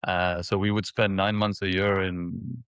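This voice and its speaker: A man in his forties from Ethiopia and Mauritius reminiscing in a casual interview, haltingly, repeating words as he thinks. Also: low-pitched, little pitch movement, vocal fry, a bit bright, clean tone, no room sound. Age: 40s. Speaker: interview guest, former professional tennis player.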